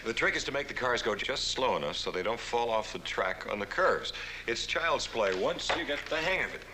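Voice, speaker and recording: An actor playing a calm, moralizing gentleman mentor in a measured, instructive way, as in 1960s television comedy. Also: medium pitch. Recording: noisy old television soundtrack.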